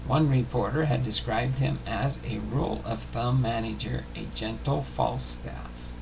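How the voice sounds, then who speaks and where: A man hosting a baseball history series, reading biography notes aloud in a halting way with small stumbles and self-corrections. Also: mid-range voice, fairly even intonation. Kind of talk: scripted biography narration, read aloud. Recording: clearly noisy home recording.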